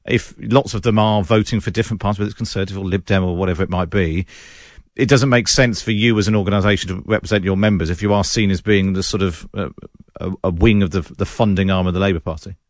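Quick low-voiced guy hosting a radio show, dry sound. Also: steady tone, no echo. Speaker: radio presenter. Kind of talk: broadcast interview and links.

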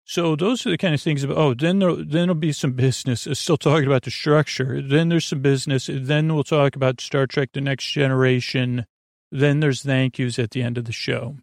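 A man hosting a sleep podcast, talking in a meandering, halting way with a gravelly voice that wanders off into tangents. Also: medium pitch, no echo, clean studio sound. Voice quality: creaky, gravelly. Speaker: podcast host. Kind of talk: rambling bedtime sleep podcast monologue.

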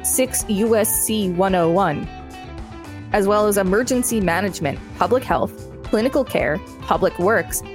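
An American woman co-hosting a podcast, reading encyclopedia text aloud with light banter. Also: mid-range voice. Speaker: podcast co-host and reader.

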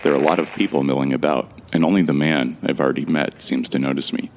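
A man's voice: a bass voice, steady tone, quick pace, darkish tone, slight background noise. An actor playing a bewildered amnesiac man who wryly narrates his ordeal in the first person for a sci-fi audio drama. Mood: wry, musing. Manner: wry, musing.

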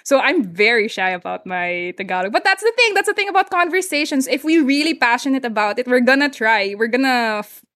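Filipino lady guest on a podcast, bit high pitched, super encouraging, drops tagalog words in. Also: lively intonation, brisk, a bit bright. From Philippines. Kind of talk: casual conversation.

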